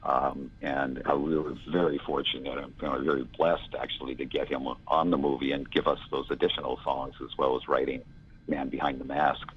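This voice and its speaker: A man in his sixties with a gravelly, gruff voice, giving a rambling, reflective interview answer with lots of fillers. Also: rumbling low, little pitch movement, normal speed. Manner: rambling, reflective, many "you know" fillers. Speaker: interview guest, filmmaker. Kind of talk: casual conversational interview. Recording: dull lo-fi audio.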